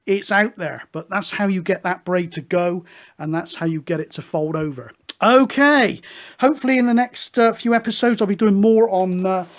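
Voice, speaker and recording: A man from the UK hosting a hobby show, explaining things casually in a chatty, rambling, hesitant way. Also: high-pitched. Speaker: host of a CB radio show.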